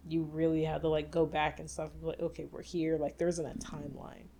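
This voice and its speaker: Lower-voiced woman chatting loosely. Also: flat delivery, brisk, warm tone, slight background noise. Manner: chatty, filler-heavy, explaining. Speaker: podcast co-host. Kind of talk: casual chat.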